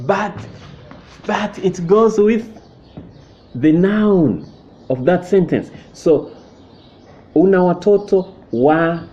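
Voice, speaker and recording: A man walks a class through a lesson, moving about as he writes on a whiteboard. He speaks slowly and repeats every phrase, his fairly high voice rising and falling a great deal. The classroom sound is dull and lo-fi, picked up by a camera mic from mid distance. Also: a little mellow, a little hiss or hum. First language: Swahili. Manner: slow, deliberate, repeats each phrase; moves around while writing on the whiteboard. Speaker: Swahili teacher. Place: indoor classroom.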